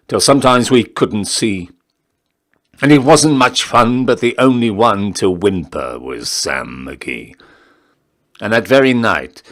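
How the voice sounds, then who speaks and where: A man reciting a narrative ballad in a measured, theatrical storytelling style with deliberate pauses. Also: fairly low pitch, a bit bright. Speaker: poetry reader, town crier.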